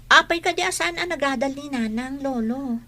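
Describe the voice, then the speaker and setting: High-voiced earnest schoolgirl. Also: moderate pace, pushed and bright. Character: earnest young schoolgirl granddaughter, eager but worried. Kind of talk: radio drama acting. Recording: faint background noise.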